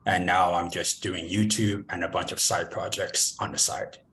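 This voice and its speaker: A man speaking as a panelist on a video call, relaxed and a bit hesitant. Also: low voice, normal speed, slight background noise. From born in China, raised in the US. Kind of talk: casual conversation.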